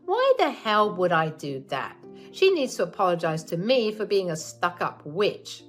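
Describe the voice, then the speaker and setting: Woman putting on a nasty greedy mother-in-law, high and shrill, swings all over the place. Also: sing-song, measured pace, soft background music. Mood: scornful.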